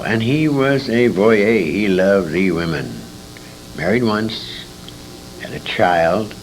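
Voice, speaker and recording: An older American man with a very low voice and lively swings in pitch, recalling the past in an interview. He rambles and feels around for names. The tape is noisy, with a low rumble under him. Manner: rambling, searching memory for names. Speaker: interviewee, former radio actor. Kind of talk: reminiscing in an interview.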